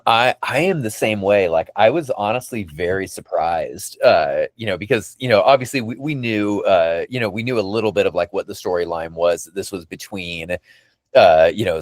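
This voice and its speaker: A man's voice: fairly low pitch, no room sound, clean studio sound. A man hosting a podcast in casual fan chat, enthusiastic and effusive, rambling and circling back over his phrases. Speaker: podcast host.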